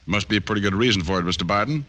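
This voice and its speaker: Wry, low-voiced radio private eye. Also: male voice, racing, a bit bright. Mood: dry, businesslike. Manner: wry, flippant, mumbles to himself. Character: wisecracking, easygoing private detective. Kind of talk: mid-century radio detective acting.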